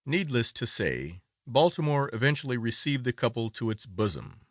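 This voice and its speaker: A man's voice: mid-range voice, very animated, moderate pace, no echo, clean studio sound. A man narrating a classic literary story as a dramatic, scripted audiobook reading.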